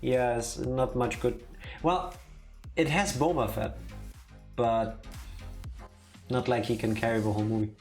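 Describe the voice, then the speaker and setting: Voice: medium pitch, steady tone, measured pace, long pauses, darkish tone. A streamer in casual conversation before a game stream, with an opinionated, playfully teasing way of talking. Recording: music playing behind the voice. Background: music.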